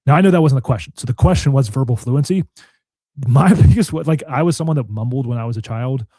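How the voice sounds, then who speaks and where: A man's voice: quick pace, mellow, no room sound. A young man hosting a podcast in casual chat, talking in long rambling stretches full of "like". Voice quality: deep voice. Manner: rambling, filler-heavy, frequent "like". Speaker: podcast host.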